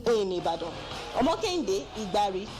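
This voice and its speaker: A woman's voice: mid-range voice, big pitch swings, normal speed, music in the background. A woman with a Nigerian accent reading a news report voice-over in a formal manner. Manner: formal, read-aloud delivery.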